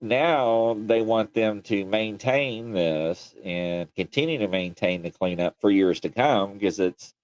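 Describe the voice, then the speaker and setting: A man's voice: fairly low pitch, takes it slowly, hardly any pauses, darkish tone, no room sound, poor audio. A man co-hosting a casual chat show, joking and teasing his co-host.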